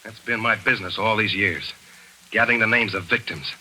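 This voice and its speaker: A man's voice: on the low side, brisk, pushed and bright, husky, full character voice, poor audio. An actor playing a hard-nosed man who questions and sentences a war criminal, in clipped 1940s radio acting. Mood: grim, relentless. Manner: tough, clipped, relentless questioning. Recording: old radio broadcast recording.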